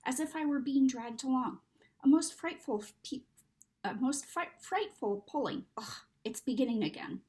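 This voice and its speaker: A woman voicing an excitable, bossy schoolboy with exclamatory, old-fashioned phrasing in a storybook. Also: high voice, moderate pace, pitched above the performer's own voice, lightly acted. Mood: alarmed.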